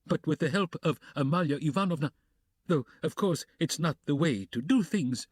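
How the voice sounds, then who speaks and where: A pompous official who hedges and blusters, his voice pitched up and husky, put on by a male narrator. Dry and close. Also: expressive, moderate pace, no echo. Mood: blustering. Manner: blustering, hedging.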